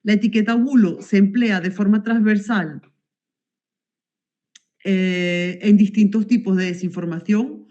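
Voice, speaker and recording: A woman's voice: medium pitch, fairly even intonation, a little slow, long pauses, mellow, highs cut off. A woman from Venezuela, presenting research results at an academic conference over a video call and hesitating with many fillers and self-corrections.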